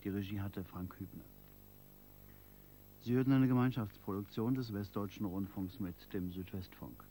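A man making a formal, scripted radio announcement in a measured manner. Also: fairly low pitch, warm tone, slight background noise, poor audio.

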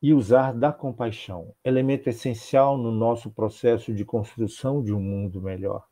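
Older man, Brazilian accent, mellow voice, measured rhythmic sermon, video call with earphone mic. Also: mid-range voice, steady tone. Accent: Brazilian Portuguese. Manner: measured, rhythmic phrasing with repeated clauses. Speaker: spiritist lecturer. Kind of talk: religious talk. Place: home office with bookshelves.

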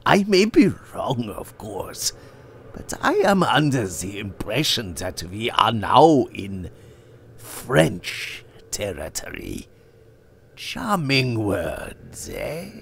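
A man voicing a cold, sly older circus owner who threatens with soft, mocking politeness. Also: sits low, sing-song, slow, vocal fry, slight background noise, voice pushed up, exaggerated voice. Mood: silkily menacing.

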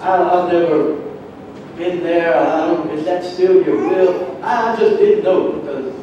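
A man giving a personal testimony in church in a conversational, halting storytelling way, acting out bits of dialogue. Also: high voice, warm tone. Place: large church sanctuary, indoors. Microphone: far camera at back of the room. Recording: echoey church hall, distant mic, muffled, noisy.

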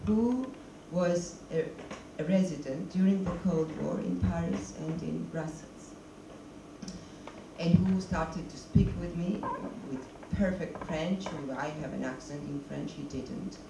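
Gravelly-voiced woman in an echoey hall. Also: on the low side, unhurried. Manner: searching for words, non-native English. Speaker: documentary filmmaker, guest. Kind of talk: stage Q&A interview. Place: stage of a screening hall, seated. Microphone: handheld mic. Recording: echoey hall, noisy, mic from a distance.

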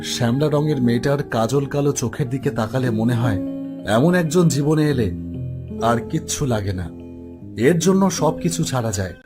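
A man's voice: mid-range voice, lively intonation, normal speed. A man narrating a first-person ghost story in a dramatized style, with music behind him.

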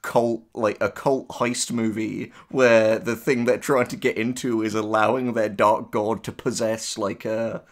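A man's voice: lowish voice, level tone, unhurried, few pauses. A man co-hosting a casual, opinionated discussion, talking emphatically and freely swearing. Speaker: writer co-hosting a reaction discussion.

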